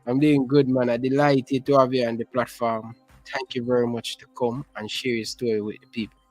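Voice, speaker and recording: A Jamaican man hosts a casual online interview with lively energy and patois slang. His voice sounds close and dry, with faint music in the background. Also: mid-range voice, a little fast, dry recording. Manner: energetic, hypes viewers with patois phrases.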